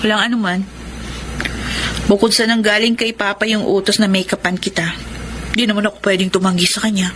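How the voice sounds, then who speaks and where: An actor playing a grown stepchild in a radio drama, earnest and measured. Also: female voice, mid-range voice, flat delivery, a bit bright, natural voice. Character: grown stepchild, guarded yet accepting. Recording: noisy radio drama recording.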